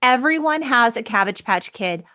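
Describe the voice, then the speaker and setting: Animated, high-voiced woman telling personal stories. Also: normal speed, dry recording, studio quality. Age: middle-aged. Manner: dramatic, self-deprecating, mimics dialogue. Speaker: podcast co-host. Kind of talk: animated personal storytelling, casual chat.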